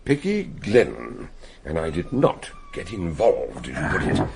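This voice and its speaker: An actor playing an eccentric elderly detective in a radio drama, with a genial, learned manner and chuckling, expressive delivery. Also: male voice, a bass voice, a little slow, a little hiss or hum, exaggerated voice. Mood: amused. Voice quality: gravelly, breathy voice. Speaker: amateur detective. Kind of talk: 1960s radio drama acting.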